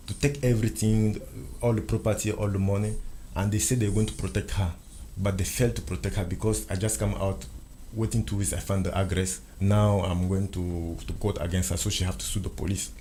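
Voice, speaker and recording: Earnest French-speaking man, low voice. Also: dark tone, a little hiss or hum. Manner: repeats and restarts phrases, earnest and insistent. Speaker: guest. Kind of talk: recounting a personal ordeal in conversation.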